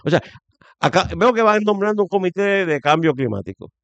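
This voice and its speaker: A man's voice: high voice, lively intonation, a little fast, clear, resonant tone, no room sound, lo-fi sound. Middle aged puerto rican guy talking politics, opinionated, repeats stuff for emphasis, close dry sound. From Puerto Rico. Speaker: interview guest giving political opinion. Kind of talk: conversational political commentary.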